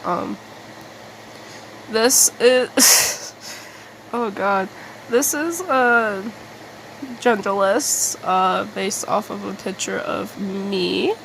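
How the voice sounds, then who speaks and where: A woman talks through a casual, rambling vlog at an unhurried pace. Her mid-pitched voice often drops into creaky fry, and she speaks haltingly, putting herself down with some mild swearing. A faint hum of room noise sits behind her. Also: a bit bright, rough recording. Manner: halting, self-deprecating, mildly sweary. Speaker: artist making videos.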